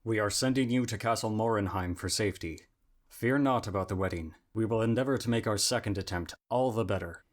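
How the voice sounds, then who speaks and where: A man playing a dutiful royal attendant in an ASMR roleplay, with a formal, earnest and measured way of speaking. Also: lowish voice, natural voice. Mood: steady, reassuring.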